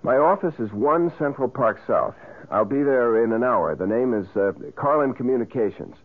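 A man's voice: mid-range voice, expressive, lightly acted. An actor playing a nervous, hesitant businessman phoning in a tip, stammering in old-time radio drama style. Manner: halting, stammering. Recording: muffled lo-fi vintage radio recording.

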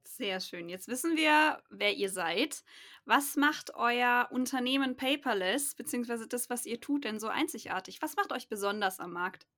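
A woman's voice: a little high, expressive, normal speed, sharp tone. A woman hosting a business podcast, asking her guests questions in a chatty, informal way. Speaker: podcast host. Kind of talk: casual podcast interviewing.

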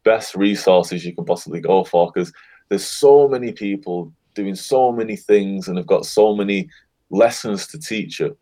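A man being interviewed as a guest on a podcast, talking in a relaxed, encouraging way. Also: low-pitched, moderate pace. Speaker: guest, affiliate marketer. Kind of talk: casual interview chat.

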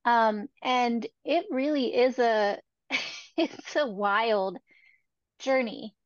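A woman's voice: a little high, drawn-out words, dry recording. A woman hosting a podcast interview, speaking in an earnest, reflective way. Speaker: podcast host. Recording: dry, dull lo-fi sound.

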